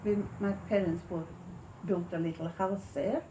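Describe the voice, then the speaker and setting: Elderly Latvian woman, halting, mellow voice. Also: on the low side, steady tone. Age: 80s. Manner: halting, searching for words. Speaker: interviewee recalling own life. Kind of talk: oral history reminiscence. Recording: echoey room with faint noise.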